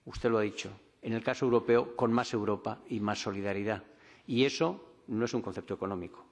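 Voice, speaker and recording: A man speaking as a conference panelist, deliberate and often correcting himself mid-phrase. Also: fairly low pitch. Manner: deliberate, with frequent restarts and self-corrections. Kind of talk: formal conference remarks. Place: large conference hall. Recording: reverberant conference hall.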